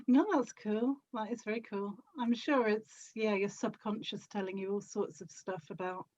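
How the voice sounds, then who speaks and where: A slightly muffled, lo-fi recording of a British woman in casual conversation. Her voice sits at a medium pitch as she chats as a podcast guest. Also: unhurried, a little mellow. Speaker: podcast guest, audio drama actor and producer.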